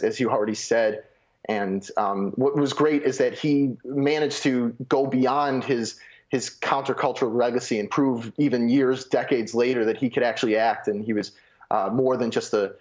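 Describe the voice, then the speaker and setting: Halting man, dull remote link. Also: medium pitch. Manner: halting, repeats words. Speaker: film reporter, interview guest. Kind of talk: unscripted live interview commentary.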